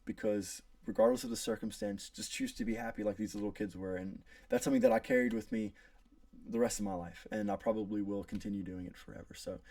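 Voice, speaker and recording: An earnest young man from South Africa with an American accent gives a prepared class speech, pausing on fillers and restarting phrases. His low, gravelly voice rises and falls widely. He stands back from the mic in a dry room. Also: lively intonation, a little mellow, dry recording, distant mic. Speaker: student.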